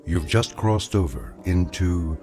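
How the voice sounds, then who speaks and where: A man narrating an eerie radio drama in a measured, ominous and enigmatic way. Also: low voice, music in the background. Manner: measured, portentous.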